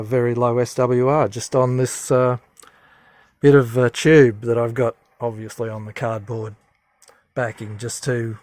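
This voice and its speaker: A man giving a casual hands-on demonstration as a hobbyist, speaking haltingly as he thinks aloud. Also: mid-range voice, darkish tone, no echo. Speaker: hobbyist radio presenter.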